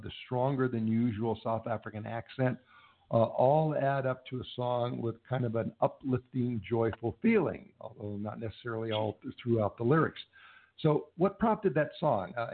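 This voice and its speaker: A man hosting an interview, asking thoughtful questions in a measured way with hesitant ums. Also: mid-range voice. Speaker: interview host. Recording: tinny phone-like line.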